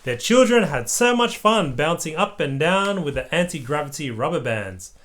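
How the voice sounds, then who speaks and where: Very animated storybook reader. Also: mid-range voice, normal speed. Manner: animated, acts out the dialogue. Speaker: parent reading a storybook aloud to a child. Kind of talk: expressive children's story read-aloud.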